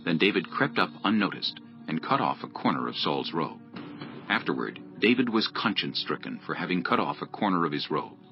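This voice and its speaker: A man's voice: deep voice, big pitch swings, brisk, soft background music. A man narrating scripture as a scripted dramatized audiobook. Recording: dull lo-fi audio.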